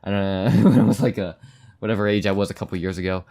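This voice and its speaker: A man with a low-pitched voice hosts a reaction video. He talks in a loose, casual, rambling way, as if chatting to his viewers. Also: fairly even intonation, fast, mellow.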